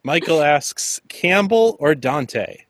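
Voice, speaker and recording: A man hosting a podcast, his voice lively and animated across a medium pitch. The recording is close and dry, with very little room sound. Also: slow, no echo. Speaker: podcast host. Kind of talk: podcast interviewing and scripted host narration.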